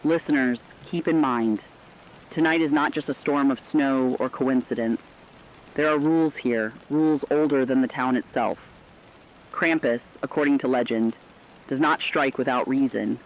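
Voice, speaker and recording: A man playing a solemn radio co-anchor, giving measured, foreboding warnings in a scripted horror broadcast. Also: medium pitch, lightly acted. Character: ominous radio co-anchor warning of an ancient legend. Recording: muffled lo-fi audio, faint noise.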